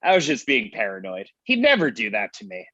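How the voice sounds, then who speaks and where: A man's voice: lowish voice, huge pitch swings, fairly quick, sharp tone, no room sound, full character voice. A man playing a worried, mild-mannered friend, conversational and conflicted, in a comedy table read. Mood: defensive. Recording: dull, close dry microphone.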